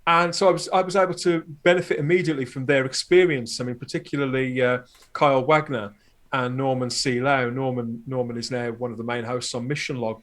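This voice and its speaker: A man in his forties chatting casually as a podcast guest, jokey and rambling. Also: medium pitch.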